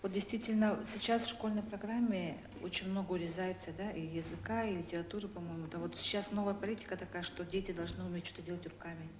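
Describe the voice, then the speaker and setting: A woman's voice: on the low side, fairly even intonation, fast, hardly any pauses. A woman at a round-table discussion who asks questions and seeks agreement from the others. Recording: roomy hall with faint noise.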